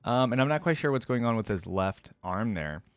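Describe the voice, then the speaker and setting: Animated, casual man giving reaction commentary. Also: medium pitch, brisk, dry recording, studio quality. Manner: informal, opinionated, hedging his opinions. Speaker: reaction video host.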